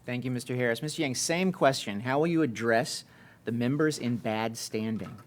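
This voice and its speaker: A man moderating a debate, brisk and businesslike. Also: medium pitch.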